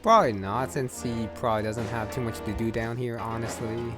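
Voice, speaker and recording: A man's voice: medium pitch, a little fast. A man giving casual, offhand gameplay commentary, thinking aloud and trailing off. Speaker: gamer playing and commenting. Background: game music.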